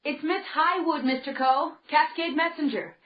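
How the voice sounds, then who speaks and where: An actress playing a persistent, brisk newspaper reporter with a politely pushy manner in a radio drama. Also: female voice, sits high, fairly even intonation, roomy sound, natural voice. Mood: insistent. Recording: dull sound with loud crowd noise.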